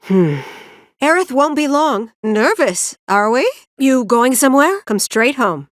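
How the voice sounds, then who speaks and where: A woman playing a weary, protective middle-aged mother in a video game, speaking in an earnest, measured, maternal way. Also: sits high, lively intonation, no echo, clean studio sound. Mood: gentle, maternal. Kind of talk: naturalistic video game voice acting.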